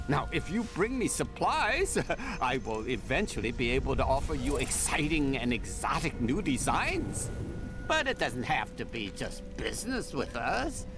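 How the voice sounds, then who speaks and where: A flamboyant, adventurous merchant of gems in a fantasy game. His voice leaps and dips wildly as he chats, genial and theatrical, with music clearly playing behind him. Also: a little high. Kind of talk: video game character dialogue.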